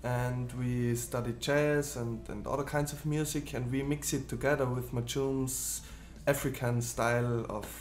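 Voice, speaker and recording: A man from Switzerland or Austria, a jazz musician, explaining in a relaxed way in an interview and often trailing off softly. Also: medium pitch, unhurried, few pauses. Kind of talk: casual interview.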